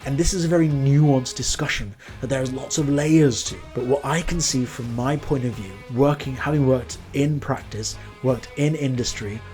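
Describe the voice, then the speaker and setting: A man's voice: mid-range voice, moderate pace, hardly any pauses, darkish tone. A young man originally from Nepal gives earnest career advice in a vlog, posing questions straight to the viewer. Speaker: accountant giving career advice. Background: faint background music.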